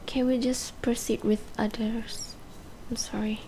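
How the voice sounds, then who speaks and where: A depressed, fragile young female patient, acted by a woman, in an amateur radio drama. Her voice is soft and dark-toned, slow and hesitant, slipping toward a whisper. The recording has slight background noise. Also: fairly high pitch, flat delivery, low-quality recording. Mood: fragile, withdrawn.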